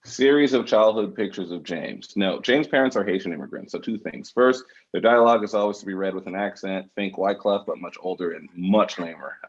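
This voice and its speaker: A man's voice: sits low, fairly quick, hardly any pauses, highs cut off. A man, the writer, reading out stage directions as narration at a script table read.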